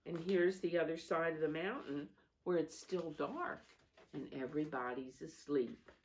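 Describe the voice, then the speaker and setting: A woman reading a storybook aloud to young children, expressive, with asides to her listeners. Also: low voice, a little slow. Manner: expressive, asking listeners questions. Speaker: storybook reader.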